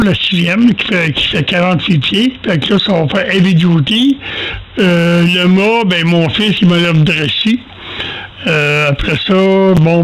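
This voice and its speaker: An older man with a Quebec French accent, chatting casually over amateur radio in a halting, folksy, self-deprecating way, telling rambling anecdotes. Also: high voice, a bit bright, poor audio.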